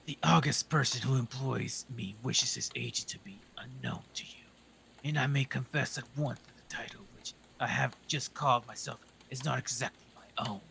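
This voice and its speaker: A man voicing a haughty, secretive male nobleman with a deep, harsh German accent, in an audiobook reading. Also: vocal fry, slight background noise, poor audio. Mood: grave, guarded. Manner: low hushed delivery, halting.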